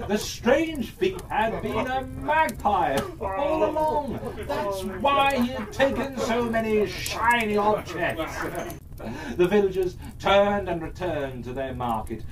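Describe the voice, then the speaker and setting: A storyteller narrating a children's fairytale in a theatrical way, pausing often within phrases. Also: medium pitch, lively intonation, recorded from a distance, a crowd in the background.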